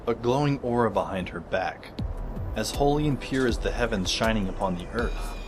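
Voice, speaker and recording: An actor playing a dry, sardonic teenage schoolboy who narrates an audio drama in the first person. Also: male voice, fairly low pitch, expressive, moderate pace, lightly acted. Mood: reflective. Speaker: narrator and lead character. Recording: music under the voice.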